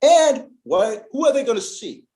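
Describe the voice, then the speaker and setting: A man from Uganda speaking in a panel discussion, earnest and emphatic, stressing key words with long pauses. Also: very high-pitched, expressive, warm tone, reverberant. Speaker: panel guest.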